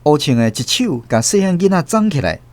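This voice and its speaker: Animated Taiwanese male storyteller. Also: fairly high pitch, a little fast, slight background noise. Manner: expressive, theatrical. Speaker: narrator. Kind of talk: dramatic scripted storytelling.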